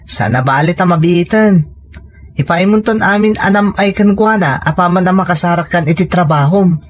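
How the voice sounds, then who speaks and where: A woman's voice: fairly low pitch, normal speed, lightly acted. A woman with a Filipino accent playing a generous, supportive village cousin in an audio drama, casual and friendly. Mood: encouraging. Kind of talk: audio comedy-drama acting.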